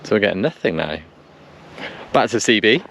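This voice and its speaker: A man's voice: on the low side, expressive, moderate pace, fairly bright tone. A man giving casual running commentary and radio calls, repeating himself as he thinks aloud. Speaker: vlogger testing two-way radios. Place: outdoors on a country lane. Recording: noisy outdoor recording with vehicle sounds.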